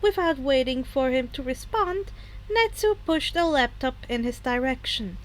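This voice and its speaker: A woman narrating fan fiction as expressive storytelling, with character voices. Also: sits high, a little slow.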